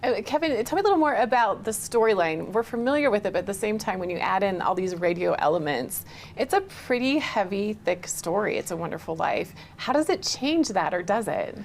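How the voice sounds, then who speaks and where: An enthusiastic woman interviews guests in a TV studio. Her voice sits at a medium pitch and she sounds encouraging. There is faint noise in the background. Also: animated, brisk. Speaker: TV interview host.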